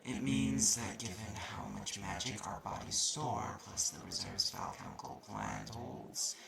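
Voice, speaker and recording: A man's voice: fairly low pitch, huge pitch swings, a little slow, gravelly, echoey room, crowd noise, full character voice. A man playing a haughty, slightly mean magic-university student in an audio roleplay, explaining things in a curt, condescending way. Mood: haughty, explanatory. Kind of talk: audio roleplay acting addressed to the listener. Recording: noisy recording with clicks.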